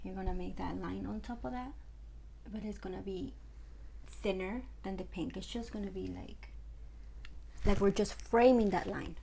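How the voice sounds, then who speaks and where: Woman, Spanish accent, young adult, casual makeup tutorial talk, gravelly fry, faint background noise. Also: medium pitch, moderate pace, long pauses. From Ecuador. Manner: chatty, relaxed, lots of fillers. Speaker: makeup artist.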